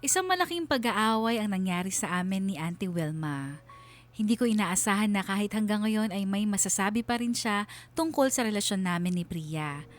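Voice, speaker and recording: A woman's voice: mid-range voice, lively intonation, moderate pace, soft background music. A woman with a Filipino accent reading a scripted first-person story as dramatic narration.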